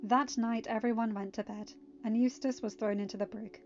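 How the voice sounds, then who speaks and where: A woman with a fairly high voice narrates as a podfic reader. Faint music runs behind her, and the recording is slightly dull. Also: steady tone, normal speed. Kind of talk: scripted story narration.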